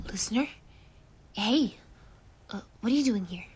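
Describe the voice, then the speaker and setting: Girl doing a soft-spoken young boy calming his friend down, lots of whispering, slow and easy, faint hum in the room. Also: mid-range voice. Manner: soft, soothing, often whispered. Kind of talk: ASMR comfort roleplay.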